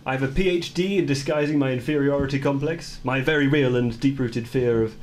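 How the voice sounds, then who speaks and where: A man's voice: medium pitch, fairly quick, a little mellow, a little hiss or hum, close to an everyday voice. A man playing a boastful, self-important character hiding insecurity behind mock modesty in a radio play. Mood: smug.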